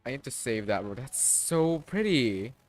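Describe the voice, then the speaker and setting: Slow-talking casual male game streamer. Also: medium pitch, expressive, soft background music. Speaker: livestreamer. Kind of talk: casual game livestream reaction.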